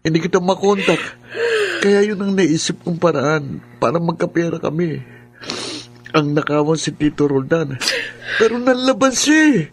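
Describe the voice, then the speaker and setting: Radio drama casting: young criminal son, high husky voice, scared, stammering, pleading. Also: male voice, animated, normal speed, pauses often. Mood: pleading, remorseful. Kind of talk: Filipino radio drama acting.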